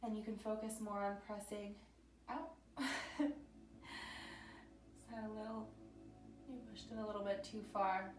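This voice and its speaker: A woman leads a yoga class in calm, gentle cues, her voice a little scratchy, and she clears her throat now and then. Her delivery stays flat and even. She sounds distant in an echoey room, with soft music playing underneath. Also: mid-range voice, unhurried, pauses often. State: slightly scratchy voice. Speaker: yoga teacher. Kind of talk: guided yoga instruction. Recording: roomy echo from a distant mic with background music.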